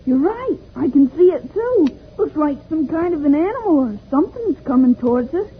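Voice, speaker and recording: A woman's voice: high voice, sing-song, moderate pace. A woman playing a plucky, eager young boy who exclaims and questions in a 1950s radio serial. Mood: concerned. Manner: exclaiming, inquisitive. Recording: tinny, hissy old radio recording.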